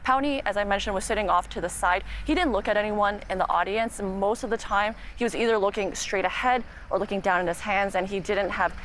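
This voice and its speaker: A woman with a Canadian accent giving a measured, earnest live news report as a reporter outside a courthouse. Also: medium pitch, fairly bright tone. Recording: clear background noise, outdoor ambience.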